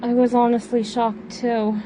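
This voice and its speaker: A woman playing a subdued, gentle young daughter in an amateur audio drama, speaking haltingly and trailing off. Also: on the high side, flat delivery, a little hiss or hum, close to an everyday voice, rough recording. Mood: hesitant, subdued.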